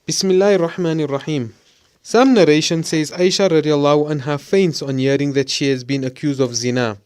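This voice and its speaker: Actor as earnest young male student, fairly high voice, caring, radio drama. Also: steady tone, a little fast, darkish tone, clean tone, lightly acted. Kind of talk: scripted audio drama acting.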